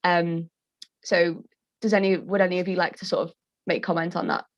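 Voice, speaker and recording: Lower-pitched young Liverpool woman hosting. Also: flat delivery, normal speed. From Liverpool, England. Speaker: panel host. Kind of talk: informal moderating.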